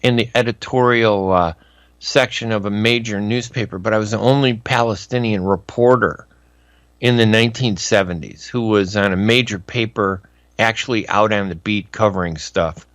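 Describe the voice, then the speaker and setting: A man's voice: fairly low pitch, normal speed, a bit bright, no echo. A man in his sixties hosting a radio interview, talking in a conversational way and often asking for agreement. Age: 60s. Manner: conversational, seeks agreement with tag questions. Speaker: radio host and journalist.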